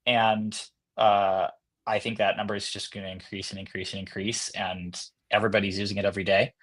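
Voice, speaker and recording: A man's voice: fairly low pitch, little pitch movement, a little fast, a bit bright. A man speaking casually as a company executive guest in an interview, feeling his way through his answers as he talks.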